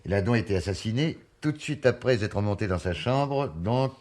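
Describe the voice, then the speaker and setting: An actor playing a tenacious investigator in a mystery play, questioning deliberately with theatrical emphasis. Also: male voice, fairly low pitch, lively intonation, a little mellow, natural voice. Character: probing, tenacious male amateur investigator. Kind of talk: French radio mystery play acting.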